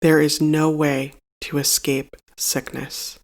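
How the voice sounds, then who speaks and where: A meditation guide reading a scripted narration in a measured, solemn and instructive manner. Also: mid-range voice, little pitch movement.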